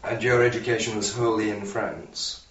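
A man's voice: lowish voice, steady tone, normal speed, fairly bright tone. A man asking brief, polite questions in an oral history interview. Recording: distant roomy voice on dull old tape.

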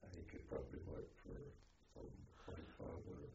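An elderly man reminiscing in an oral history interview, speaking in a halting, reflective way. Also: warm tone. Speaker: interviewee, former army officer. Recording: dull, noisy, echoey, distant microphone.

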